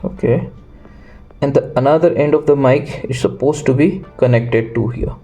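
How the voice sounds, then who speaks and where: A man presenting an unscripted, step-by-step electronics tutorial, halting and pausing in the middle of phrases. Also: mid-range voice, warm tone, faint music behind.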